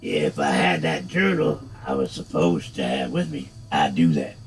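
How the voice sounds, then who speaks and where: A man putting on a deep gravelly brooding immortal. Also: wildly swooping pitch, soft background music, heavily acted. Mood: grim, puzzled. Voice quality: very deep, dark voice. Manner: slow, measured, gravelly. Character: brooding amnesiac immortal man, scarred and grim. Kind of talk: reading game dialogue aloud.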